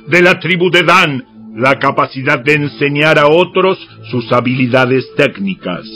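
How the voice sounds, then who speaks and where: A solemn prophet for an audio bible, really slow and forceful, kinda high voice, music behind. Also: male voice. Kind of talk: dramatized audiobook scripture acting.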